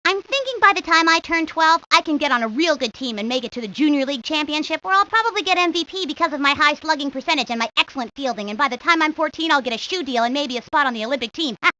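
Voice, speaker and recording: A woman's voice: sits high, expressive, fast, hardly any pauses, pushed and bright, dry recording. A woman voicing a talkative, confident young baseball-loving girl in a cartoon video game, rambling on matter-of-factly. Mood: proud, eager. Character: talkative, sporty young girl, ambitious baseball know-it-all. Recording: dull lo-fi 1990s game audio.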